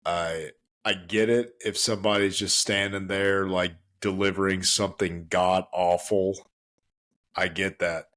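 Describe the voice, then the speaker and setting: A man's voice: steady tone, measured pace, fairly bright tone. A man co-hosting a podcast in casual chat, emphatic and stumbling over restarts as he rants. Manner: emphatic, full of restarts and stammers; swings up high when worked up. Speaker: podcast co-host.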